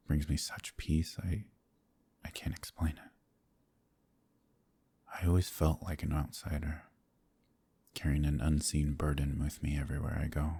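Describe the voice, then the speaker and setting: A man playing a gentle, troubled werewolf in a romantic fantasy ASMR roleplay, soft-spoken and hesitant, with formal old-fashioned phrasing and a deep, husky voice. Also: heavily acted. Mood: moved, grateful.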